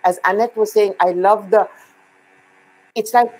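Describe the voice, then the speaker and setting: A woman's voice: medium pitch, steady tone, unhurried, darkish tone, a little hiss or hum, poor audio. A middle-aged woman with an Indian accent, a guest speaking confidently and emphatically on a video call. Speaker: guest dancer. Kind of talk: video call panel talk. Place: indoor room. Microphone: laptop webcam on a video call.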